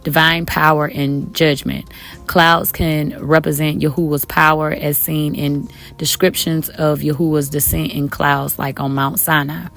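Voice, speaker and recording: A woman with a raspy, slightly hoarse voice, teaching scripture to her viewers from home in a casual way, calling them y'all with many ums. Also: low-pitched, little pitch movement, a little slow, fairly bright tone, faint music behind. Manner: talks directly to viewers as y'all, with many um fillers. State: a little hoarse. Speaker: online Bible teacher. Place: at home with her children around.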